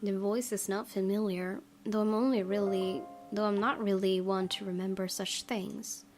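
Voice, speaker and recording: A woman reading a visual novel aloud as a let's play host, in measured, earnest dramatic narration. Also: medium pitch, fairly even intonation, a little mellow, faint music behind.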